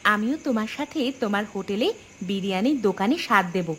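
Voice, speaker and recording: A woman voicing a practical, supportive village wife in a cartoon, with a brisk, questioning manner. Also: mid-range voice, expressive, a bit bright, slight background noise, lightly acted. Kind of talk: cartoon dub. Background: music.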